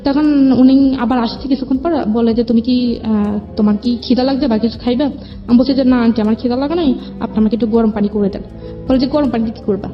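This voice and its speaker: A woman's voice: a little high, fairly even intonation, fairly quick. A young woman with a Bangladeshi accent tells her life story as an interview guest, in colloquial speech with reported dialogue. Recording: dull lo-fi sound with music underneath. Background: background music.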